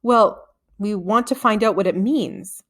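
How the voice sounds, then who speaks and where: A woman's voice: mid-range voice, unhurried, lightly acted. Woman playing a schoolboy, curious, eager, children's storybook dialogue. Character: curious, eager schoolboy plotting with his friend.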